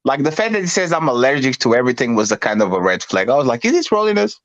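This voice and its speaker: A man's voice: medium pitch, rapid-fire, no echo, muffled recording. A man from Portugal hosting a casual livestream chat, joking and swearing as he talks. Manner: joking, crude, excitable. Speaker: livestream host.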